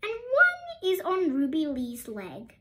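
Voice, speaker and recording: A woman's voice: very high voice, huge pitch swings, slow pace, pushed and bright. A woman reading a children's picture book aloud expressively, with questioning asides to young listeners. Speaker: storybook reader.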